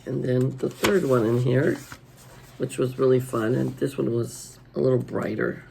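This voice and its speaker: A raspy-voiced middle-aged woman hosting a casual show-and-tell, chatty and homey, filmed at her table at home. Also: extremely low pitch, measured pace, a little mellow, slight background noise, low-quality recording. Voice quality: raspy today. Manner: chatty and homey, many ums. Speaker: coloring channel host. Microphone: overhead camera over the table.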